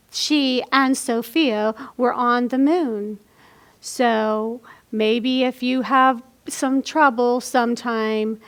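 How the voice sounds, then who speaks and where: A woman with a high voice hosts a storytime for young children. She speaks at an unhurried, slow pace and explains things step by step in simple words. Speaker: library storytime host. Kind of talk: children's storytime reading and craft instruction.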